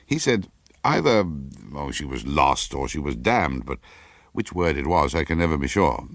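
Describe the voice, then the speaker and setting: A man's voice: extremely low pitch, sing-song, normal speed, fairly bright tone, dry recording, studio quality, exaggerated voice. A man reading aloud as a cultured, well-bred English husband, urbane and casually knowing, in a radio story. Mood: musing, confiding. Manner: light, urbane, casual lecturing.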